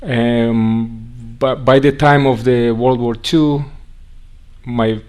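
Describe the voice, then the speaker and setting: Russian-accented guy giving a talk, slow and halting, lots of restarts. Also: medium pitch, steady tone, darkish tone. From Russia (Siberia). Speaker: guest speaker. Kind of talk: conference talk.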